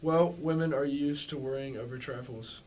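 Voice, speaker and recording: A man's voice: mid-range voice, steady tone, normal speed, darkish tone, close to an everyday voice. A man playing a dismissive small-town sheriff in an amateur staged play reading. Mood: dismissive, casual. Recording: distant mic with faint background noise.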